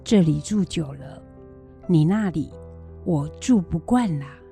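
A woman's voice: on the low side, lively intonation, takes it slowly, creaky, mellow, soft background music, full character voice. A woman acting a weary, worried old grandmother, plain-spoken and mildly scolding, in a radio drama. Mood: worried, firm.

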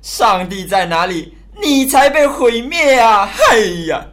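A woman's voice: high-pitched, expressive, a little slow, a bit bright. A woman playing a scornful, mocking onlooker who jeers and exclaims in a dramatized Bible story. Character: jeering, scornful onlooker in a crowd mocking Noah.

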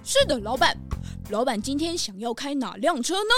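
A woman playing a dutiful, excitable swallow butler in an audio drama, deferential and fussy. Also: sits high, moderate pace, over music.

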